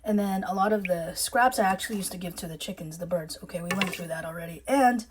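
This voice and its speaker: A woman's voice: fairly low pitch, fast, noisy background. A woman with a Filipino accent, chatting casually to camera in her home kitchen, rambling a little and correcting herself with plenty of ums. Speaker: urban homesteading vlogger.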